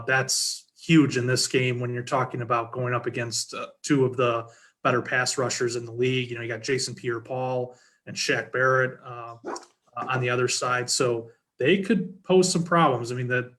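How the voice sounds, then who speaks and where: Man, sports panelist, medium pitch, halting delivery, informal analysis. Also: fairly bright tone. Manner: halting, repeats words as he builds his point.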